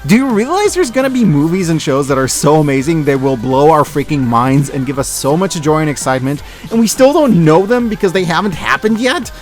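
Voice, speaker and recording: A man giving scripted video-essay commentary as an online reviewer, enthusiastic and expressive with wry asides. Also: a little high, a little fast, few pauses, faint music behind. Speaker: online media reviewer and host.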